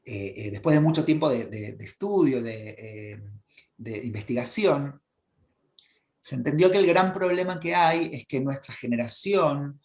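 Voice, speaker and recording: A man's voice: mid-range voice, animated, a little mellow. Argentine man explaining, echoey room. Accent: Argentine Spanish. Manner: halting, many fillers and restarts, lecturing. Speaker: guest, language teaching expert. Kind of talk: explanatory interview talk. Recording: roomy echo.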